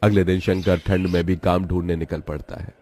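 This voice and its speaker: Low, mellow male story narrator. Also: level tone, a little fast.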